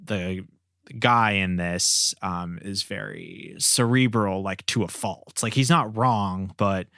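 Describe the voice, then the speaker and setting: A man with a lowish, clean voice hosts a podcast discussion. He feels his way through sentences conversationally, recorded dry and close with no room sound. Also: slow, gravelly, sharp tone, no echo. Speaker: podcast host.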